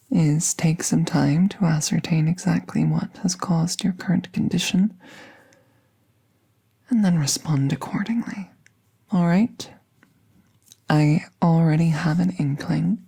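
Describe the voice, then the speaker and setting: A woman playing a calm, gentle magical veterinarian in a soft, breathy, soothing ASMR-style roleplay, often half-whispering. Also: deep voice, exaggerated voice. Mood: gentle, careful. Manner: slow, hushed, soothing, reassuring. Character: gentle, calm female magical veterinarian treating a wounded werewolf.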